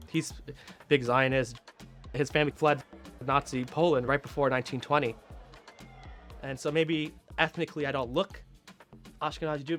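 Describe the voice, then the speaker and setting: A man's voice: a little high, fairly bright tone. A man from Trinidad and Tobago, a Hillel staff member, speaking candidly in a documentary interview.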